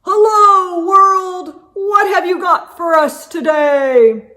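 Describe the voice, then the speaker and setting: A woman voicing a loud, cheerful grandfather in a storybook, calling out in a booming, jolly way. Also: slow pace, full character voice.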